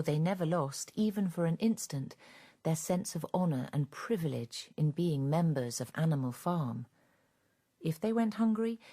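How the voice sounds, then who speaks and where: A woman narrating a radio drama as a grave, measured storyteller of a farm fable. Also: low voice, warm tone.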